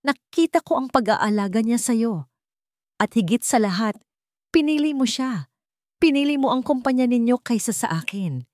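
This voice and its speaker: A woman's voice: fairly high pitch, lively intonation, moderate pace, a little mellow, no echo, studio quality, voice pushed up, lightly acted. A woman voicing a wounded, defiant young single mother in an emotional radio-style drama story. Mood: hurt, accusing.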